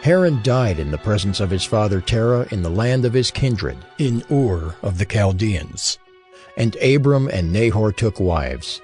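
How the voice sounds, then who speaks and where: A man narrating scripture in a solemn, measured and formal scripted reading. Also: on the low side, big pitch swings, darkish tone. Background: faint music.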